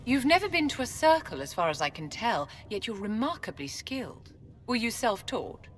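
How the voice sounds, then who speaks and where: A woman's voice: a little high, very animated, normal speed, a little hiss or hum. An actress playing an elegant, imperious female court mage, formal, poised and coolly condescending, in a video game. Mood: probing, cool.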